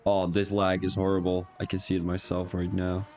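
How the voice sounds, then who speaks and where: A man's voice: low voice, monotone, moderate pace, a little mellow. A young man from Belgium with an Antwerp Flemish accent, chatting casually and rambling as a racing livestream commentator, chuckling and correcting himself as he goes. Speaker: race commentator.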